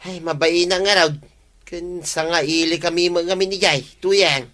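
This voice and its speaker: A man's voice: high voice, fairly even intonation, unhurried, bright, forceful tone. A man with an Ilocano accent playing a reluctant, fretful older villager with rheumatism in a radio comedy drama. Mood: worried, reluctant.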